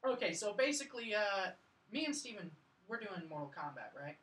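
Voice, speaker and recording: A woman's voice: medium pitch, big pitch swings, brisk, fairly bright tone. A woman co-hosting a casual movie review chat, talking in an informal, slangy way. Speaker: podcast host. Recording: roomy echo, distant from mic.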